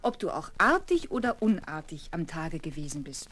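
A woman playing a kindly, patient governess in a children's radio play, affectionate and gently teasing as she reassures her charge. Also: low-pitched, very animated, moderate pace, slightly rough, full character voice. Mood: reassuring. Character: kindly, patient young governess of a little girl. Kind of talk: 1970s German children's radio play acting.

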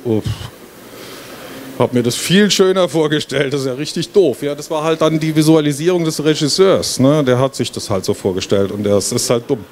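A man's voice: a little high, darkish tone. Man presenting over noisy hall ambience. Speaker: game developer presenting. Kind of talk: presentation to an audience. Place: stage at a book fair hall. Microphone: handheld microphone. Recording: clear background noise, fair ambience. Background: trade fair hall ambience.

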